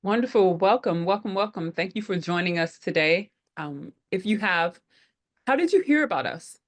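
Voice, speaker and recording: A woman's voice: fairly low pitch, lively intonation, normal speed. A woman hosting an online group call, welcoming people in an upbeat way with frequent fillers. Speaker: head of operations, meeting host.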